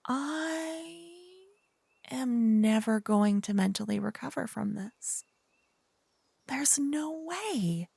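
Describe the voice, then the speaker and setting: A woman playing an earnest, chatty young paladin girl in an ASMR fantasy roleplay, with soft, expressive, dramatic delivery. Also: on the high side, slow, vocal fry, pauses often, breathy edge, no echo, exaggerated voice. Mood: alarmed, flustered.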